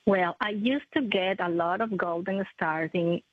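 A woman, a prosthetist answering interview questions in a halting, self-correcting way. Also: medium pitch, dry recording. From Ecuador. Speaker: maxillofacial prosthetist, guest. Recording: tinny phone-like line, dry.

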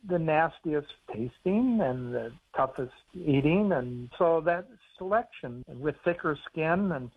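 A man with an American accent speaks over a tinny phone line. He explains things in an easygoing, chatty way, now and then with a chuckle. His voice sits a little high for a man. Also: expressive, slow pace, hardly any pauses, slightly rough. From United States. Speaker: author and conservation biologist on the phone. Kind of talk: phone interview on radio.

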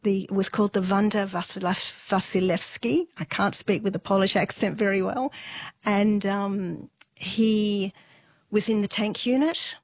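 A middle-aged woman, telling stories conversationally and matter-of-factly, with thoughtful pauses. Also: medium pitch, steady tone, no echo. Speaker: interview guest. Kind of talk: conversational family storytelling.

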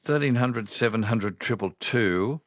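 A man's voice: medium pitch, measured pace, no echo, clean studio sound. A man with an Australian accent hosting a radio program, chatting casually and reading out listener messages. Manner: chatty, rambling, reads listener messages aloud. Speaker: radio host.

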